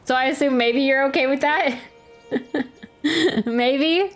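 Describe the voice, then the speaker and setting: A woman's voice: high voice, slow. A woman live-streaming a game, chatting casually, thinking aloud and answering her viewers. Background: faint game music.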